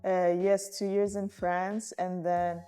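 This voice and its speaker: A woman's voice: on the low side, flat delivery, slow, dark tone, clean tone, faint music behind. A young woman from Vietnam with a mixed Vietnamese-American English accent, chatting casually and thinking aloud with fillers. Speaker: guest friend. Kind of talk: casual conversation.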